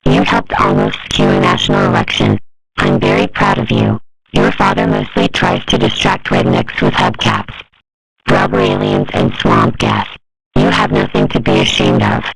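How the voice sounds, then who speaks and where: A woman voicing a gentle mother who reassures her grown daughter in a soothing, affectionate way. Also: rumbling low, flat delivery, normal speed, creaky, music in the background, pitched below the performer's own voice, full character voice, rough recording.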